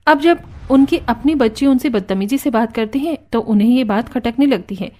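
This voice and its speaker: Woman narrator for a cartoon story, high pitched, calm storytelling. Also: moderate pace.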